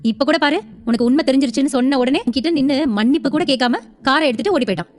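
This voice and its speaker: A woman plays a scheming elder sister in an audio serial. The voice is high and quick. She sneers and insists with open spite. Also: lightly acted. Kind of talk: audio serial drama acting.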